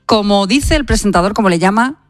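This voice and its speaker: A woman's voice: medium pitch, animated, a little fast, soft background music. A woman with an accent from Spain presenting on the radio, with conversational news commentary and an ironic, emphatic delivery.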